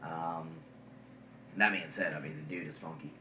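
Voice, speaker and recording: A man's voice: rumbling low, fairly even intonation, normal speed, far from the mic. A man co-hosting a casual wrestling podcast, rambling with lots of ums and uhs. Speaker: podcast co-host. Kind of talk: casual chat about wrestling. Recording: echoey room, faint noise.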